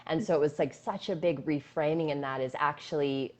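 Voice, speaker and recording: A young adult woman with a low-pitched voice speaks in a loose, flowing way. She talks about her coaching work with lots of fillers and restarts, sounding effusive. She is recorded on a phone during a live video chat. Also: brisk. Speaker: intimacy coach guest. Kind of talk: casual live-stream conversation. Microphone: phone on a stand.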